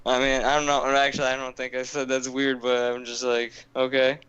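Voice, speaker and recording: A man's voice: medium pitch, completely flat delivery, brisk, a bit bright, clear, resonant tone, dull audio. A young man casually telling an old story on a podcast, rambling and swearing, with many fillers and restarts. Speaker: podcast co-host.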